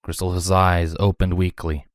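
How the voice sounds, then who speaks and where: A man's voice: deep voice, level tone, moderate pace, no echo, clean studio sound. A man reading a story aloud as scripted narration. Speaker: fan fiction narrator.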